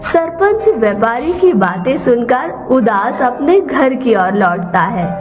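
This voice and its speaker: Female story narrator: slightly high register, unhurried scripted delivery, loud music bed. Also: big pitch swings.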